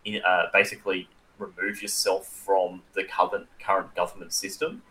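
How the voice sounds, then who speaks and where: An Australian man with a slightly low voice chats casually as a podcast co-host. His delivery is halting and careful, restarting as he works out how to phrase things. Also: little pitch movement, pushed and bright, low-quality recording. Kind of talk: casual conversational discussion.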